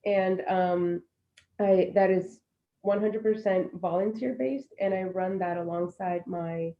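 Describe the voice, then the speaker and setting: A woman's voice: lowish voice, takes it slowly, muffled recording. A woman in her thirties, born in Puerto Rico and raised in Florida, speaking earnestly and reflectively as a panelist over a video call. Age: 30s. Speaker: panelist, alumna. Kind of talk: casual panel talk.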